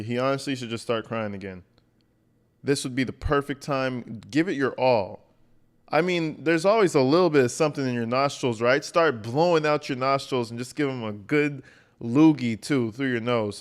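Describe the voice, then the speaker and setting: A man's voice: mid-range voice, moderate pace. Blunt, mocking man live-streaming reactions. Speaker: livestream host reacting to video. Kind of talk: casual, profane live reaction commentary.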